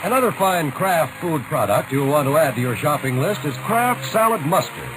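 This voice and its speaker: Lively, higher-voiced radio ad man. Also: normal speed, very noisy. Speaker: radio commercial announcer. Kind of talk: 1940s radio ad read.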